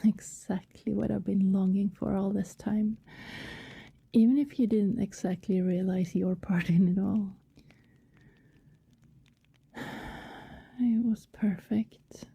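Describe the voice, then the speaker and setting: A woman playing an affectionate, wistful vampire neighbour in an intimate ASMR roleplay, speaking softly and tenderly, often in a hesitant whisper. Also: medium pitch, steady tone, full character voice. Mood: wistful, content. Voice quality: soft, breathy, often whispered. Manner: slow, tender, hesitant murmuring.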